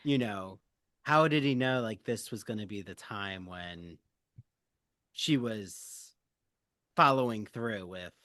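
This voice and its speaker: A man's voice: lowish voice, slow, pauses often, fairly bright tone, no echo, studio quality. A man hosting a podcast, chatting casually in a sympathetic, conversational way. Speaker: podcast host. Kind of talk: casual discussion.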